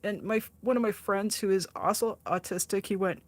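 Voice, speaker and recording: A woman's voice: mid-range voice, fairly even intonation, a little fast, dry recording. A woman in her sixties chatting casually on a livestream, answering viewer comments, laughing often and teasing herself. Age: 60s. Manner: chatty, laughs often, self-deprecating, frequent restarts. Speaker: art livestreamer reading chat.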